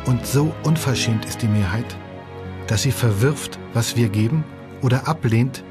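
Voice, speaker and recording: An actor playing a bitter, indignant parliamentary deputy of 1848 in a formal, declamatory docudrama monologue. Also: male voice, medium pitch, measured pace, over music, lightly acted.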